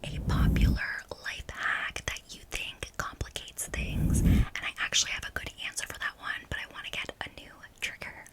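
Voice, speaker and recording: A woman's voice: moderate pace, rough recording. A woman in her thirties from Canada whispering a loose, rambling ASMR chat full of "like" and "um". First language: not French; French is her third language. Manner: rambling, filler-heavy "like" and "um". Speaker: ASMR creator. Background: ASMR trigger sounds.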